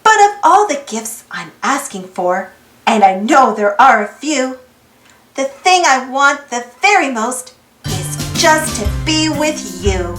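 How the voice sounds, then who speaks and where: High, lilting woman reading a children's storybook. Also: sing-song, takes it slowly. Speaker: storybook reader, channel host. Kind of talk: children's storybook read-aloud. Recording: roomy echo with music behind. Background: music.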